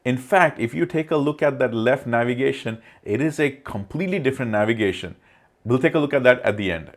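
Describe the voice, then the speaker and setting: A man's voice: mid-range voice, expressive, brisk. A man narrating an informal tech explainer over slides, talking directly to the viewer in a conversational way. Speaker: tech video presenter.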